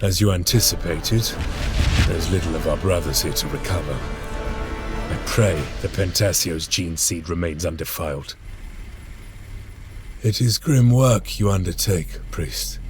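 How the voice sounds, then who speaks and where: An actor playing a grave, vengeful veteran space marine commander in a video game, speaking in a formal, solemn and measured way. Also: male voice, sits low, over music. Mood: somber. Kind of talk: dramatic video game cutscene acting.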